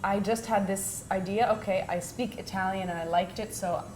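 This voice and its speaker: A woman's voice: steady tone, normal speed, a little mellow. American woman in an echoey, noisy room. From United States. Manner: conversational, with frequent "you know" and "like". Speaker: guest storyteller and host of the evening at home. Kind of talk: informal personal storytelling to a small group. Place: open-plan room at home. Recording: echoey room with clear rumbling noise.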